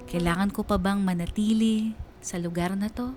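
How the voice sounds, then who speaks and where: A woman voicing a heartbroken letter writer in scripted first-person narration, reflective and emotional, with deliberate phrasing. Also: medium pitch, steady tone, darkish tone, soft background music, lightly acted. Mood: wounded.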